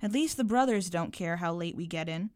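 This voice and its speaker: Weary, wry guardian aunt voiced by a woman, lowish voice, teasing yet stern, storybook read. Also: animated, fairly quick, dry recording, studio quality, close to an everyday voice. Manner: sighing, wry. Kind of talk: short story read aloud.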